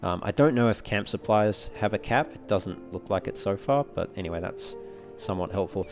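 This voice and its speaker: A man giving casual let's play commentary as a walkthrough host, explaining things in a measured, wordy, slightly formal way. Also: fairly low pitch, soft background music.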